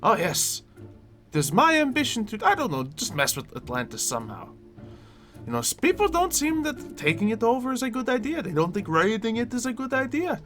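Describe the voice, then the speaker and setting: Someone playing a theatrical, mischievous schemer, swooping pitch. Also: wildly swooping pitch, fairly quick, a bit bright. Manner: theatrical, slightly formal, playful. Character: eccentric, mischievous, theatrical schemer eager to steal. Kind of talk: improvised Minecraft roleplay. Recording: music behind the voice.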